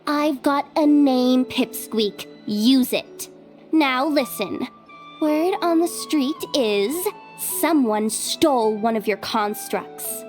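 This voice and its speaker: A woman's voice: high-pitched, slow pace, darkish tone. An actress voicing a brash, boastful young female bounty hunter with breezy street slang in an anime game dub. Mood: cocky, teasing. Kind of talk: English anime game voice acting. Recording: music behind the voice.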